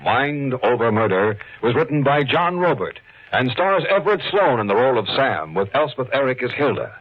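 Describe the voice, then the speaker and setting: Lively male announcer, very noisy recording. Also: medium pitch, moderate pace. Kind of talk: 1940s radio announcing. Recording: noisy, muffled old radio broadcast.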